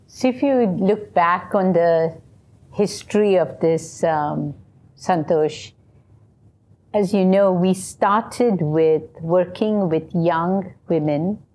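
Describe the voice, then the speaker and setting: A woman who founded a company, explaining its background clearly in an interview. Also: mid-range voice, a little mellow. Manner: articulate, explanatory.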